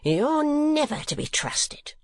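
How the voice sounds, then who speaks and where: A narrator voicing a furious, scolding wife in a storybook, with shrill, screaming, accusing tones. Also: sing-song, slow, no room sound, exaggerated voice. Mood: bitter scolding.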